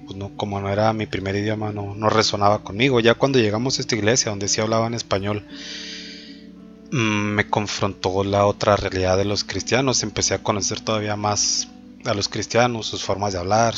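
A man with a fairly low voice recounts his testimony in a reflective, halting way. The recording sounds dull and lo-fi, with faint music underneath. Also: fairly bright tone. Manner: reflective, hesitant, pauses often on fillers. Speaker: testimony speaker. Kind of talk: personal faith testimony.

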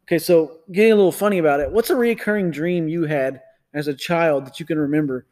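A man's voice: a little high, fast, a little mellow. Rambling man in his thirties. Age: 30s. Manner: rambling, self-correcting, many restarts. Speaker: podcast co-host.

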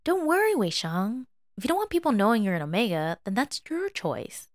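A woman playing a gossipy, excitable but kind young student friend in an audio drama, chatty and eager. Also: on the high side, a little fast, pitched above the performer's own voice. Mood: concerned, reassuring.

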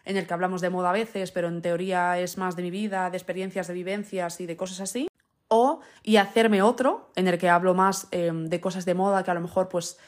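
A woman's voice: fairly low pitch, steady tone, fairly quick. A young woman hosting her own podcast, thinking aloud in a casual monologue full of fillers and asides. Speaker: podcast host.

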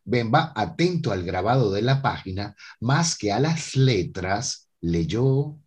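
A man's voice: fairly low pitch, expressive, slow pace, slightly rough. A man narrating a scripted radio drama as its storyteller.

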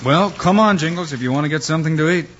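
An actor playing a steady, shrewd American lawman hero in an old radio western, calm and matter-of-fact. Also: a little high, quick pace, close to an everyday voice. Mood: brisk. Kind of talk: 1950s radio western acting. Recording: muffled old radio recording, faint noise.

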